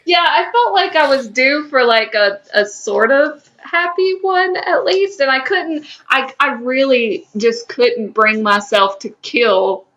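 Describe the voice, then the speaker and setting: High, bright-voiced woman podcast co-host. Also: slow pace, few pauses, lo-fi sound. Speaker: podcast co-host and fan fiction writer. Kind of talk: casual comedic chat.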